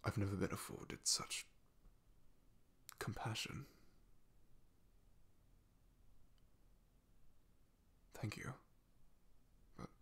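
A man playing a young, humble, devoted knight in an ASMR roleplay, soft-spoken and halting, with a breathy, gravelly half-whisper. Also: fairly low pitch, full character voice. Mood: confused, grateful, then resigned, dutiful.

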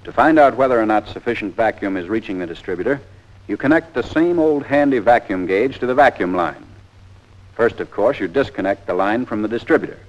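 A man's voice: on the low side, expressive, fairly quick. An American man narrating a scripted 1940s training film in a plain-spoken, methodical, folksy instructor's manner, on an old film soundtrack. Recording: dull, band-limited 1940s film soundtrack.

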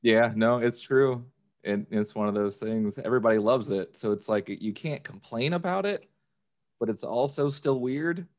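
A man's voice: mid-range voice, moderate pace, darkish tone. An American man in easygoing, anecdotal casual conversation on a podcast. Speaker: podcast participant. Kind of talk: casual chat. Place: remote video call from a room indoors.

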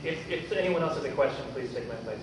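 A man's voice: medium pitch, fast. A man speaks up informally from a meetup audience to help with technical questions. His mid-range voice is distant and very echoey. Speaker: audience member answering community questions. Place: meetup room. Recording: distant echoey room with clear noise.

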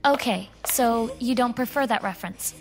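A woman's voice: fairly high pitch, normal speed, close to an everyday voice. An actress playing a businesslike but wary female contact in an audio drama.

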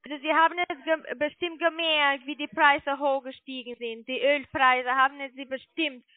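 A very high-pitched woman speaks over a dull phone line. She is a telemarketer, explaining insistently and checking that the listener agrees. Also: little pitch movement, normal speed, no room sound. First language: not German. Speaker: telemarketing caller pitching investments. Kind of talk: improvised phone sales pitch. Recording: muffled narrow-band phone call.

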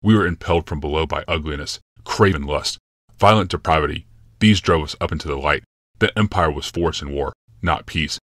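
A man's voice: rumbling low, a little fast, fairly bright tone, dry recording, studio quality. A man voicing a towering, zealous emperor who speaks in solemn pronouncements. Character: grave, towering male emperor obsessed with struggle.

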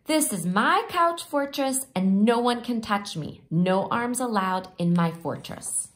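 A woman's voice: a little high, lively intonation, unhurried, pitched above the performer's own voice. A woman voicing a stubborn little boy in a storybook, blunt and defiant about his territory.

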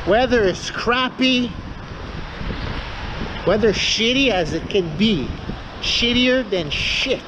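A man's voice: sits high, slow, pauses often. A middle-aged man, rambling and joking self-mockingly while vlogging as he walks down a rainy city street. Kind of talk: rambling walking vlog. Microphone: action camera held at arm's length. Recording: street and traffic noise.

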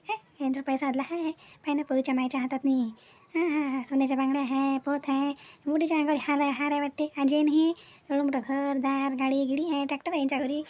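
Woman doing a greedy cartoon village mom, really high but mellow voice, varhadi marathi accent, sly and pushy. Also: lightly acted. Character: greedy, scheming village mother of the groom. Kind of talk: comic cartoon dialogue.

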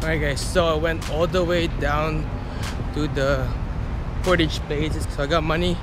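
A man's voice: on the high side, fairly even intonation, normal speed, background noise. A young man chatting casually to camera as a vlogger, filming himself at arm's length. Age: young adult. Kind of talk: casual vlog chat to camera. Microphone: action camera at arm's length. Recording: loud music behind the voice. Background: loud music.

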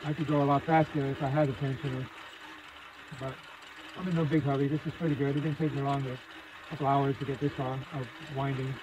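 A man casually narrating a hands-on repair demonstration at his workbench, with an unhurried, folksy manner. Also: mid-range voice, little pitch movement. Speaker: hobbyist host demonstrating radio repair. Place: indoor electronics workbench. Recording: clear background machine whir and noise.

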